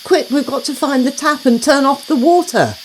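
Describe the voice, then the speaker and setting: A woman's voice: sits high, normal speed, a little hiss or hum, pitched above the performer's own voice, natural voice. Woman as a swooning, caring storybook quilt. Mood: alarmed.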